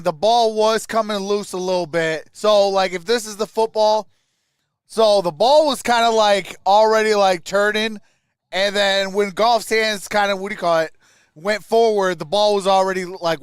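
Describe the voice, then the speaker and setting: A man's voice: high-pitched, fairly even intonation, unhurried, smooth, no room sound, studio quality. A man giving informal live fan play-by-play on a sports stream, reacting aloud and chatting with viewers. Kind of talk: live fan commentary and chat.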